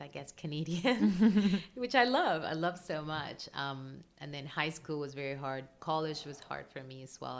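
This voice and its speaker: Low-voiced Colombian woman, open and emotional. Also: a little hiss or hum. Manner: open and emotional, frequent ums and laughs. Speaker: podcast guest, breathwork coach. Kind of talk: casual interview chat.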